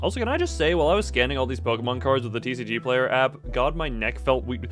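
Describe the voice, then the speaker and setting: A man's voice: mid-range voice, animated, fast, fairly bright tone, soft background music. A man hosting a casual livestream, chatting with viewers in a jokey, playfully mock-dramatic way. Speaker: livestream host.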